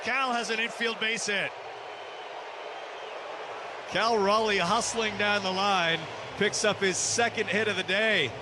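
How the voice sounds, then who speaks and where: A man's voice: high-pitched, fairly even intonation, takes it slowly, pauses often, noisy background. A man with an American accent calling live baseball play-by-play on radio in short, clipped phrases.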